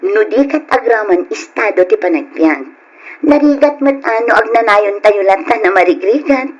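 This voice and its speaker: Woman playing a proud, defiant daughter, slightly husky. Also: fairly high pitch, animated, normal speed, dull audio. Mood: defensive. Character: proud, resentful ambitious daughter out for payback. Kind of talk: Ilocano radio drama acting.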